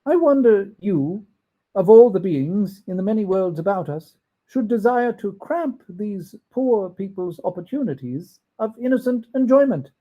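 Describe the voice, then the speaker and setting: A man's voice: high voice, lively intonation, measured pace, lo-fi sound, pitched above the performer's own voice. A man voicing an earnest, challenging old miser in a storybook reading.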